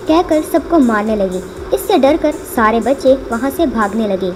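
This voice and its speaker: A woman narrates a children's story from a script. Her voice is high in pitch. Music plays clearly behind her. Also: lively intonation, moderate pace.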